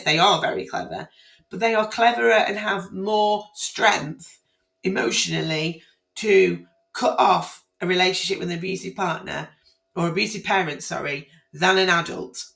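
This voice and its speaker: A woman with a croaky, hoarse voice, speaking casually and emphatically to her viewers about family court matters, often repeating herself and restarting phrases. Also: on the low side, moderate pace, fairly bright tone. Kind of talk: casual spoken commentary on guidance to camera. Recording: roomy echo.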